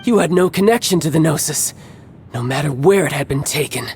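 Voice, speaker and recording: An actor playing a cocky, battle-hungry young villain in a video game cutscene, speaking in a measured, smug and theatrical way with formal phrasing. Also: male voice, fairly high pitch, expressive, faint music behind, close to an everyday voice. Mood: composed, intrigued.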